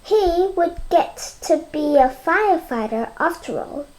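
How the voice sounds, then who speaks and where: A child reading a storybook aloud in a halting way, stumbling and repeating words. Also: very high voice, expressive, darkish tone, low-quality recording. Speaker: read-aloud presenter.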